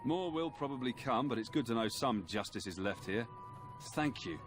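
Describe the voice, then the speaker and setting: A man's voice: medium pitch, animated, normal speed, over music, close to an everyday voice. A man playing a disgruntled, plain-spoken villager in a fantasy game, with a folksy, grumbling way of talking. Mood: surprised, heartened.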